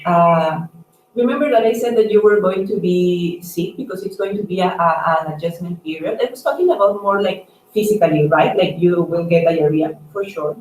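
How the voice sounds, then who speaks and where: A woman doctor giving advice on a conference call, talking haltingly with "like" and "right?" dropped in often. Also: on the low side, a little mellow. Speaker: staff doctor. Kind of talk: advisory conference call talk. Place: echoey room on a conference call. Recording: roomy, distant mic, noisy conference call.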